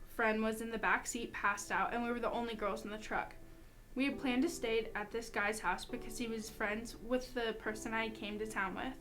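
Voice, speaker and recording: A young woman reads a first-person account aloud. Her voice sits on the high side, and soft music plays behind her. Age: young adult. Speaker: student reader.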